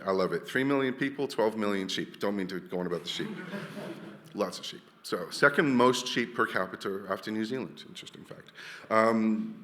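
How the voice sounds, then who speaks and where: A man's voice: fairly low pitch, sharp tone, in a large hall. A man from Wales, giving a chatty, jokey conference talk full of restarts. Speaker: conference speaker. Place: large hall.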